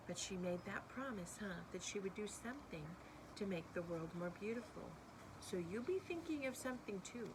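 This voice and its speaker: A woman's voice: lowish voice, background noise. A woman reading a picture book aloud expressively, with asides and questions to young listeners. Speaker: storybook reader.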